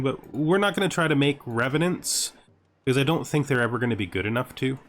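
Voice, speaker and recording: A man giving gaming commentary as a series host, thinking aloud as he plays. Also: medium pitch. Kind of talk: gaming commentary with scripted intro narration. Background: background music.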